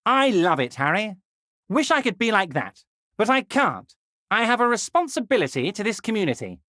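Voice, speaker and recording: A corrupt, scheming union boss for a video game, his voice pitched unusually high for a male and swinging theatrically up and down. He is oily and patronizing, full of false friendliness, in a dry, close recording. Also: big pitch swings, moderate pace, sharp tone, no echo, full character voice. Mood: mock concern.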